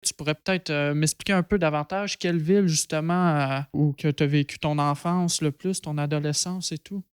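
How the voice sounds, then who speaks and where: Smooth-voiced host. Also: male voice, fairly high pitch, moderate pace, no room sound, clean studio sound. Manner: relaxed, many fillers and hesitations. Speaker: podcast host. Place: studio.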